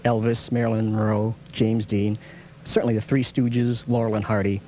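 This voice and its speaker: A man's voice: lowish voice, flat delivery, normal speed, mellow, rough recording. A man speaking as a nostalgia shop owner in a TV interview. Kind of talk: interview soundbite. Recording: faint noise on TV interview.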